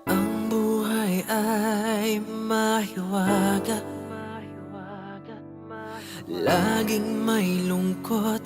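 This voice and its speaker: Slow woman singing over loud music. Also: mid-range voice, flat delivery. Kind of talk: sung theme song.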